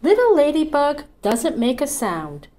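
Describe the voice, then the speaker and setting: A woman's voice: sits high, huge pitch swings, a little slow, warm tone, roomy sound. A middle-aged woman with a Newfoundland accent, a children's librarian leading a storytime at home with a cheerful, sing-song, encouraging manner. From Canada. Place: small indoor room, seated in an armchair. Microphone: fixed camera at a few feet.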